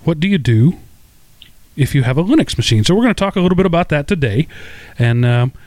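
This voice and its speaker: A man's voice: a little high, sing-song, fast, a little mellow, no room sound. A man hosting a casual tech podcast, chatty and self-deprecating, with lots of fillers and restarts. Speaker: podcast host.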